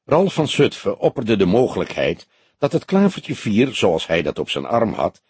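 Expressive, slightly husky male audiobook narrator. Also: on the low side, normal speed, no room sound. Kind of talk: scripted crime-novel narration.

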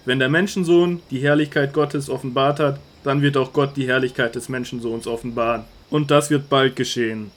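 An actor playing a calm, authoritative male teacher in a radio drama, speaking solemnly and earnestly. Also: medium pitch, fairly quick, hardly any pauses, lightly acted.

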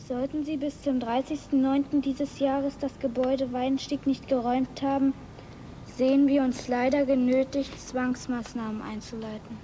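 An actress in a radio play playing a helpful, matter-of-fact youngster. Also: female voice, high-pitched, little pitch movement, moderate pace, warm tone, slight background noise, lightly acted, rough recording. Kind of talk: German radio play acting.

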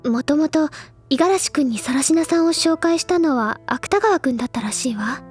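An actress playing a spirited, caring teenage schoolgirl with a feminine, expressive, gently insistent manner in a Japanese audio drama. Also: high-pitched, moderate pace, faint music behind. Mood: grave, confiding.

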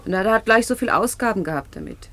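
A woman's voice: medium pitch, huge pitch swings, quick pace, fairly bright tone, exaggerated voice. Naive, hopeful young saleswoman. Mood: plaintive, earnest. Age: young adult. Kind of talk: German radio play acting.

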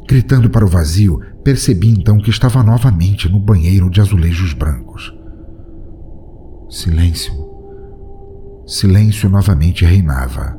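A man narrating a first-person horror story in a measured, grave way. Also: low voice, background noise.

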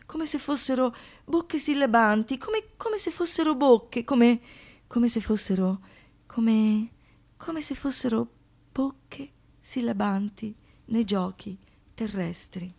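A woman giving a dramatic, theatrical recitation in a deliberate way, as a monologue performer. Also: fairly high pitch, expressive, vocal fry, darkish tone. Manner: deliberate, repeats phrases for poetic effect.

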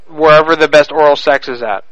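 A man's voice: on the high side, fairly quick, a bit bright, no echo. A man making a prank phone call in a crude, pushy, mock-serious manner. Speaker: prank caller.

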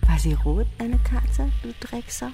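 A woman's voice: a little high, expressive, unhurried, gravelly, warm tone, faint music behind. An actress playing a cold, menacing woman interrogator in a radio drama, with curt, clipped delivery. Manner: curt, clipped questions and commands.

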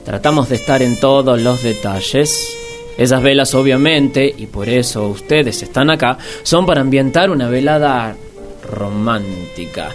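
A man's voice: mid-range voice, unhurried, faint music behind, close to an everyday voice. An actor playing a busy waiter with wordy, salesman-like politeness in a comic radio play. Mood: proudly explaining. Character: busy, obliging male waiter at a date venue. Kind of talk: radio comedy drama acting.

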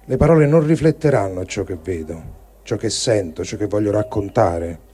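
A man giving a grave, deliberate dramatic reading of a written diary, phrase by phrase. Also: lowish voice, animated, warm tone. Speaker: reader of written testimonies.

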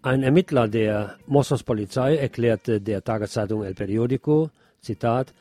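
A man's voice: mid-range voice, mellow. A man presenting scripted radio news as a narrator, with a slightly wry, colloquial delivery.

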